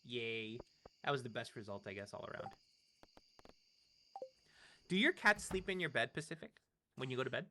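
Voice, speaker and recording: A woman's voice: rumbling low, big pitch swings, moderate pace, long pauses, bright, forceful tone, a little hiss or hum. A woman with a Virginia Southern accent chatting playfully while gaming with a friend, often laughing. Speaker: streamer guest. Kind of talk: casual chat while gaming.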